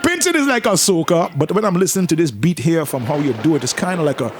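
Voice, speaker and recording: A man, hosting a casual radio interview, joking and lively. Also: fairly high pitch, quick pace, slight background noise. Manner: lively, joking, Caribbean creole phrasing. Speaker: radio host. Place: radio studio. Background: faint music.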